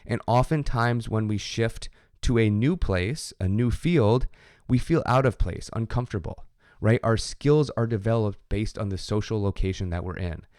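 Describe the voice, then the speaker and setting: Low-voiced man giving a dry lecture. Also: darkish tone, no room sound. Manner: conversational, frequent "right?" tag questions. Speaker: sociology instructor. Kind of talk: recorded lecture.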